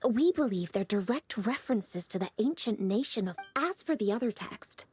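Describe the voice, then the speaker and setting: A woman's voice: mid-range voice, expressive, normal speed, mellow, slightly rough, no room sound. A woman playing a poised, dutiful young female official in a video game, polite, composed and businesslike. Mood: informative. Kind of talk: animated video game voice acting. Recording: music playing behind the voice.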